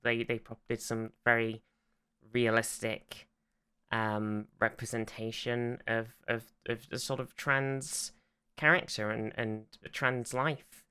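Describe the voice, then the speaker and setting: A man with a very bright, forward voice and a clean, smooth tone talks casually as a podcast host. He rambles, often repeating a word or restarting a phrase before moving on. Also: mid-range voice, little pitch movement, slow pace.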